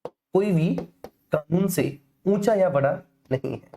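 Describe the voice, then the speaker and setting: A woman's voice: fairly low pitch, slow, dark tone. A woman with an Indian accent giving an explanatory exam-coaching lecture, addressing students familiarly and repeating key phrases for emphasis. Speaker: teacher.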